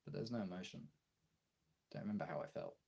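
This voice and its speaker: Male streamer, gravelly and raspy, confessional off-the-cuff delivery with frequent pauses and casual swearing. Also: sits low, darkish tone. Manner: halting, candid, swearing. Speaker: live streamer and voice actor. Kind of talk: casual confessional livestream chat. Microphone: phone.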